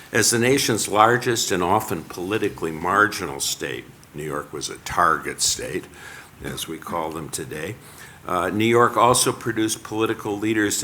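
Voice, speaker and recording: Deep-voiced older man giving a lecture. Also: sharp tone, a little hiss or hum. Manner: measured, reading from prepared text. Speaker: panel speaker. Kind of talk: prepared lecture. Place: lecture hall.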